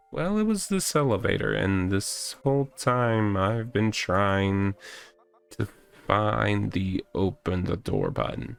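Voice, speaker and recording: Man acting a pompous, slow, gravelly self-styled cop. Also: lowish voice. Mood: confessing. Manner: theatrical, wordy, rambling. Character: pompous, delusional self-styled male cop interrogating in elevator. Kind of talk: visual novel character reading.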